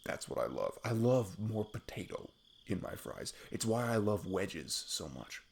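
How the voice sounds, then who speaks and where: Low husky guy acting as your sweet goofy best friend on a date, rambly asmr roleplay, pitch wanders all over. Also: very animated, measured pace, exaggerated voice. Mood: playful, casual. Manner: rambling, playful, self-deprecating chuckles. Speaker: audio roleplay performer. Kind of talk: intimate ASMR roleplay, improvised casual chat.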